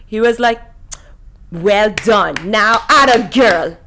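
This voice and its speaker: A woman's voice: medium pitch, slow, pushed and bright, slight background noise. Conversational woman podcast co-host in her thirties. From Iran, lived in many countries. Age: 30s. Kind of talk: casual panel discussion.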